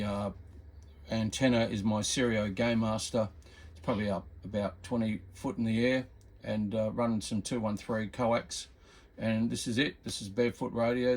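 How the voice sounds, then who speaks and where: A man's voice: fairly low pitch, fairly even intonation, normal speed. A man giving a casual, hobbyist demonstration commentary, presenting in an informal way. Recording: loud radio static and noise. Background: CB radio static and chatter.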